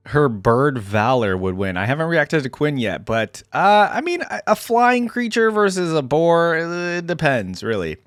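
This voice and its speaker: A man's voice: a little high, big pitch swings, measured pace, no room sound, studio quality, faint music behind. A man hosting a reaction video, reading lore aloud with casual, informal asides.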